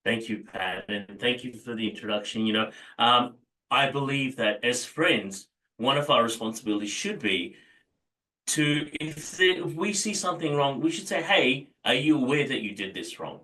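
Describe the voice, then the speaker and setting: An Indian-born man speaking as an interview guest, measured and thoughtful, with frequent fillers. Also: mid-range voice, expressive, sharp tone, recorded from a distance, low-quality recording.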